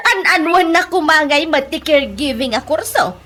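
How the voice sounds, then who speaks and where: A woman's voice: high-pitched, a bit bright, a little hiss or hum, full character voice. A woman voicing a scornful, gossipy middle-aged mother in a comedy radio drama, with an Ilocano Filipino accent. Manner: sneering, drawn-out, exclamatory.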